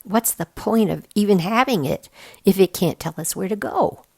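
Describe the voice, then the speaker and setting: Woman acting young storybook girl, skeptical, impatient, dry sardonic delivery, creaky edge. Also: mid-range voice, expressive, normal speed, darkish tone, dry recording. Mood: exasperated.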